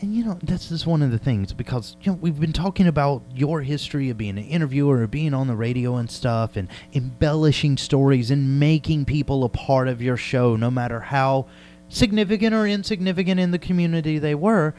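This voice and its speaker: A man's voice: fairly high pitch, fairly quick, darkish tone. A man chatting casually and conversationally as an interviewer. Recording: clear background noise with hum.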